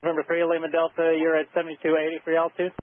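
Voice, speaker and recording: A man working as an air traffic controller, giving procedural instructions over aviation radio in a steady way with halting pauses. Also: fairly high pitch, narrow, tinny audio.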